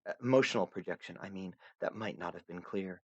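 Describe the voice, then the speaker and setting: A man voicing a polite, soft-spoken young troll girl who is lost and lonely, speaking in a hushed, earnest way. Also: deep voice, fairly quick, slightly rough, lo-fi sound. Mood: polite, puzzled.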